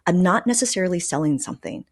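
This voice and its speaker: A woman's voice: lowish voice, animated, quick pace. A woman hosting a podcast, chatting casually and candidly with advice, often dropping in "like" and "you know.". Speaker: podcast host. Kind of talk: casual conversational advice chat.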